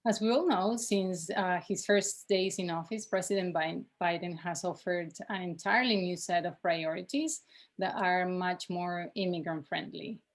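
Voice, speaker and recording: A woman from Venezuela with a Venezuelan accent, a nonprofit director hosting a webinar and moving between Spanish and English with frequent fillers. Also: fairly low pitch. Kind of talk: bilingual webinar introduction.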